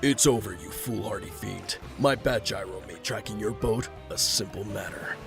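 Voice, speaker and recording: An actor in a campy audio drama playing a stoic, self-assured caped hero. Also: male voice, lowish voice, moderate pace, creaky, over music. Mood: resolute.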